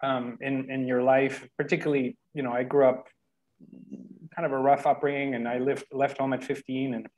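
A man's voice: medium pitch, little pitch movement, moderate pace, darkish tone, low-quality recording. A man from Spain in his forties, a podcast guest telling his life story on a video call with headphones. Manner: laughs often, self-deprecating. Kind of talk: casual storytelling. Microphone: headset on video call.

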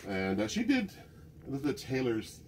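A man curator chatting casually about clothes on a rack, often pausing to search for names, recorded by a camera in a museum basement storage room. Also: fairly high pitch, very animated, gravelly, noisy background. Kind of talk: casual show-and-tell chat.

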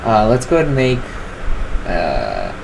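A young man in his twenties talks through his game in a slow, rambling way, stopping often for fillers. His voice sits a bit low, and a steady air-conditioner hum runs underneath the home recording. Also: gravelly. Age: 20s. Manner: rambling, filler-heavy, relaxed. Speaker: gaming channel host. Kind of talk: casual gameplay commentary.

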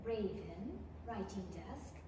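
A woman's voice: mid-range voice, animated, takes it slowly, mellow. A woman playing a curious, proper young girl who is polite but easily indignant, in an old-time radio play style. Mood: puzzled. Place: stage in an empty theatre hall. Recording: very echoey hall, distant mic, faint noise.

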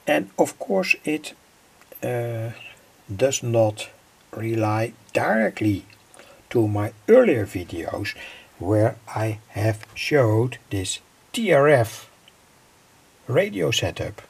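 A middle-aged man with a gravelly, husky voice giving an informal, halting technical explanation as a hobbyist presenter. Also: mid-range voice, sing-song. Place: indoor home electronics workbench.

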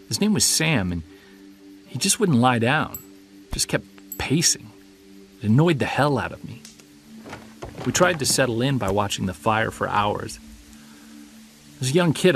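A man's voice: lowish voice, creaky, a bit bright. A man acting as a witness who recounts an unsettling childhood memory in a hesitant, conversational audio drama style. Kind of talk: naturalistic audio drama storytelling.

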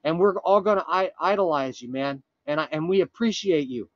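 A man playing a frantic, earnest time traveler from the future on a prank call, urgent, pleading and halting with dramatic pauses. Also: fairly high pitch, animated, no room sound, dull audio, lightly acted. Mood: solemn pleading. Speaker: prank caller. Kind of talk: improvised comic prank phone call.

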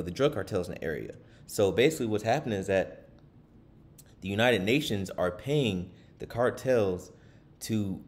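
A man's voice: low voice, darkish tone. A man giving casual commentary over his screen, rambling with many fillers and restarts and reading passages aloud from a web page.

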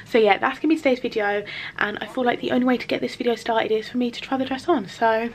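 A woman's voice: on the high side, fairly even intonation, fast. A young woman chatting frankly to camera in her bedroom about a dress she is trying on, filler-heavy and casual. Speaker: fashion vlogger. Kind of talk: casual try-on chat to camera. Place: small bedroom at home. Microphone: camera mic at arm's length.

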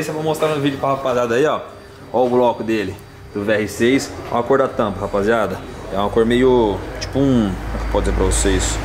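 A man in his thirties, a car vlogger, chatting in fast slangy banter outdoors while filming with a handheld camera. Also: mid-range voice, a little hiss or hum. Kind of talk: casual vlog chat with friends. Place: outdoors and garage, street.

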